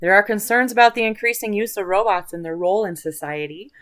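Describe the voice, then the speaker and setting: A woman's voice: mid-range voice, fairly even intonation, quick pace, a bit bright. A woman hosting an online video, talking in a casual, rambling, chatty way with informal enthusiasm for what interests her. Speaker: host of an online video channel.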